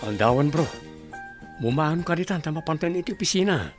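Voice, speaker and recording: Brotherly Ilocano man, slightly high voice acted. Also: expressive, fairly quick, music in the background, close to an everyday voice. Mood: caring. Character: helpful, brotherly village man. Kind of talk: Ilocano radio drama acting.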